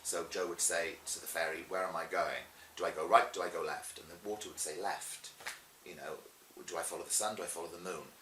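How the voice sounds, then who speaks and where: Guy chatting theatrically, low forceful voice, roomy echo. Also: fairly even intonation, brisk. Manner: chatty, theatrical, emphatic storytelling. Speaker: pantomime dame performer, interviewee. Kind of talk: animated anecdotal interview talk. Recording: roomy echo with faint hiss.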